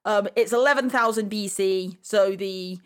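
A woman's voice: medium pitch, normal speed, clear, resonant tone. A woman hosting a podcast, talking casually through a discussion. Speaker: podcast host.

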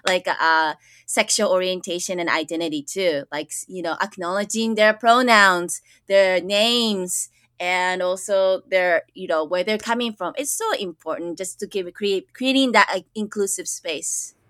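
A woman's voice: mid-range voice, a bit bright, no room sound. A young woman from Japan, a school counselor, talking earnestly on a podcast microphone with many fillers and restarts. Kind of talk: casual interview conversation.

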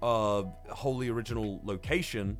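A man's voice: fairly low pitch, normal speed, faint music behind. A man hosting a podcast in casual film review chat, talking in a rambling way with plenty of swearing. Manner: profane, rambling. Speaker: podcast host.

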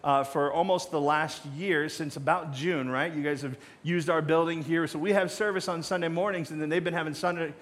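A middle-aged American man with a slightly high voice addresses his church as its pastor. He talks informally and conversationally. He leans on anecdotes and small jokes. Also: steady tone, a little fast, hardly any pauses, a bit bright. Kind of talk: informal sermon talk to congregation. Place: church stage.

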